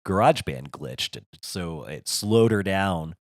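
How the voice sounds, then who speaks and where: Very low, hoarse man co-hosting a podcast. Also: lively intonation, unhurried, no room sound, clean studio sound. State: losing his voice. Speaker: podcast co-host. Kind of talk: casual chat.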